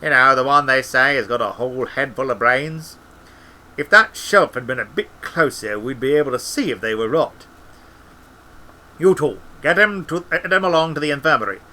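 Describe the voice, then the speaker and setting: A man's voice: mid-range voice, expressive, normal speed, sharp tone. A man voicing a brusque senior wizard in a storybook, grumbling and bossy. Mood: bossy, irritated.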